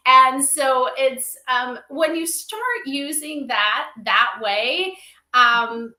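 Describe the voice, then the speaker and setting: Female livestream guest, upbeat and conversational, sharp bright tone, clearly echoey room sound. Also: sits high, very slow. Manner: enthusiastic, conversational, peppered with "you know". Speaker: interview guest, company advisor. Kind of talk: casual interview chat. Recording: roomy, echoey livestream audio.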